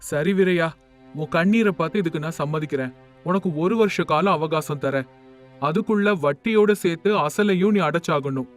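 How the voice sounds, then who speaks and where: A man voicing a stern village moneylender in an animated moral story, formal and insistent. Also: a little high, moderate pace, natural voice. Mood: firm. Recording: music under the voice.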